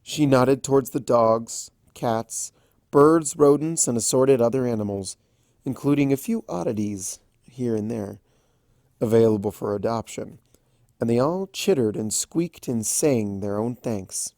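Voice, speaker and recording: A man reading a fan story aloud as an expressive storybook narrator. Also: mid-range voice, unhurried, no echo, clean studio sound. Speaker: fan fiction reader.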